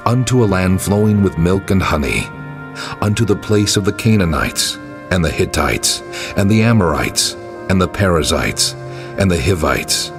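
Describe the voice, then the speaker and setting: Solemn commanding deity, very low voice, grave stately declamation, scripture drama, faint music behind. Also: male voice.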